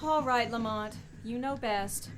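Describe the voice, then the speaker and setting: A woman's voice: on the high side, measured pace, slight background noise, lightly acted. A woman playing a devoted, anxious female companion in a radio play, worried and pleading. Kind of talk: amateur radio play acting.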